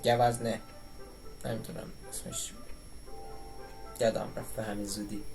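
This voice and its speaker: Very fast-talking man commenting on gameplay. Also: medium pitch, huge pitch swings, a little mellow, faint music behind. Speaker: game streamer playing and commenting.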